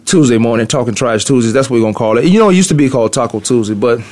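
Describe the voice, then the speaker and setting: A man's voice: medium pitch, rapid-fire, a little mellow. A middle-aged man hosting a morning sports talk radio show from a studio, rambling and thinking aloud as he goes. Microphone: studio mic.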